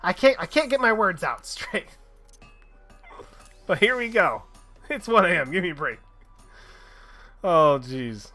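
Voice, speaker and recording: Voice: mid-range voice, very animated, unhurried, pauses often, bright, forceful tone, soft background music. A streamer chatting casually while playing a game, swearing freely and laughing at their own mistakes. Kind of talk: casual gaming livestream chat.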